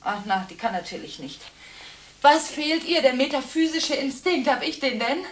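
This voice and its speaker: A woman's voice: sits high, lively intonation, moderate pace. An actress playing an agitated, contradictory woman in a German radio drama, talking impulsively through one side of nonstop phone calls. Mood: hesitant, evasive. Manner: breathless, impulsive, swinging between protest and hushed brooding.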